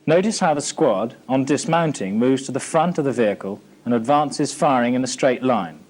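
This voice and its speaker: A man's voice: medium pitch, animated, normal speed, a little mellow. A British man narrating a military training film in a formal, matter-of-fact, scripted instructional manner. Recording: 1980s archive training film soundtrack.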